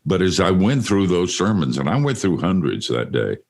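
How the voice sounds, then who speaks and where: An older man with a deep voice hosting a casual studio chat, telling stories with self-deprecating humor. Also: sing-song, normal speed. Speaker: host.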